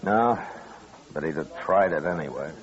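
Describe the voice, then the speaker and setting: A weary frontier lawman in an old radio western. His low voice is blunt, laconic and full of authority, on a muffled vintage recording. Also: lively intonation, moderate pace. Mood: easygoing. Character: firm, weary, peacekeeping male US Marshal. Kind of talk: 1950s radio western acting.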